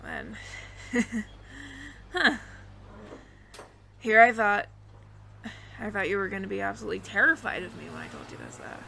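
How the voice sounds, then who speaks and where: A woman's voice: medium pitch, animated, normal speed, creaky, long pauses, pushed and bright, a little hiss or hum, full character voice. A woman voicing a friendly, flustered alien roommate who passes as human, speaking to the listener in a hesitant, stammering, earnest ramble in an audio roleplay. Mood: surprised, relieved.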